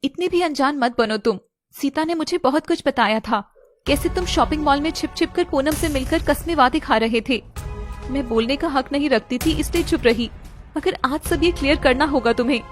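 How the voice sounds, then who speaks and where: A woman's voice: high-pitched, moderate pace, faint music behind, close to an everyday voice. A woman voicing an outspoken, scolding young female friend in an audio story. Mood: probing, accusing.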